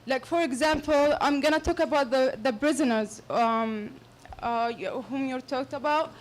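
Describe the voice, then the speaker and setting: A woman with a Palestinian Arabic accent, speaking English as her second language, making a halting, earnest comment from the floor of a public Q&A. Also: high voice. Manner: halting and earnest, many restarts. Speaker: audience member. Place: lecture hall.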